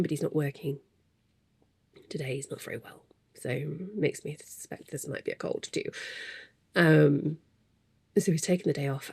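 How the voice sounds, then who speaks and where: Woman, host, stuffy with a cold, low-pitched and gravelly, casual rambling chat at her home desk mic. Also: mellow, no room sound, studio quality. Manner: rambling, self-deprecating, chuckles between thoughts. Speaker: crafting podcast host. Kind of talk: casual chatty monologue. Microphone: desk microphone.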